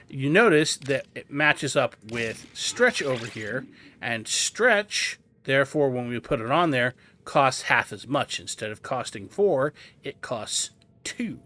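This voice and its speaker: A man's voice: mid-range voice, lively intonation, slow, a bit bright. A man hosting a video game guide, giving methodical, instructional tutorial commentary.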